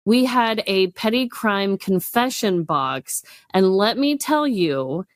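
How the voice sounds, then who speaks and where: A woman's voice: mid-range voice, no room sound, clean studio sound. A woman co-host chatting casually on a podcast in a gushing, enthusiastic manner.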